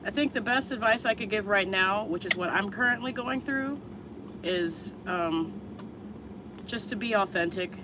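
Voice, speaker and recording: 30s woman vlogger, blunt and unhurried, mid-pitched voice, noisy outdoor stream with vehicle noise behind. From Chicago area, USA. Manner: candid, blunt, swears freely, unhurried. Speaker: vlogger answering viewers live. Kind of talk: casual livestream chat.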